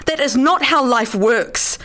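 A woman's voice: high-pitched, slow, pushed and bright, exaggerated voice. A woman playing an exasperated, fiery wife and mother in a radio play, blunt and combative.

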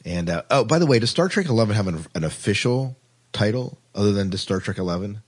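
A man's voice: on the low side, animated, fast, breathy edge. A man hosting a podcast in a casual, informal, rambling way. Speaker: podcast host.

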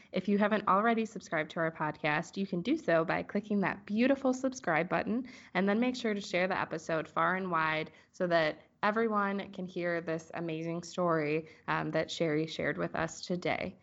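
A woman hosting an interview podcast in an encouraging, effusive manner. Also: fairly low pitch. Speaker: podcast host. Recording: slightly dull lo-fi sound.

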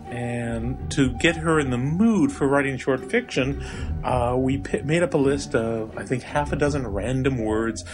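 Conversational man over guitar music. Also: medium pitch. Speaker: author giving notes on his story. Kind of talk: informal spoken author's notes.

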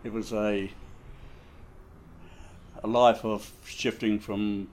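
Slow, deep-voiced old New Zealand man. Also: flat delivery, darkish tone. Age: 90s. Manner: slow, halting, many fillers and restarts. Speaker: interviewee, war veteran. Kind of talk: casual reminiscing interview.

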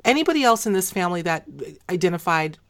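A woman hosting an interview, hoarse at the end of a long day, who probes her guest and restates what she hears. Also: lowish voice, sing-song, fast, fairly bright tone. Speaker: podcast host. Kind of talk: conversational interview.

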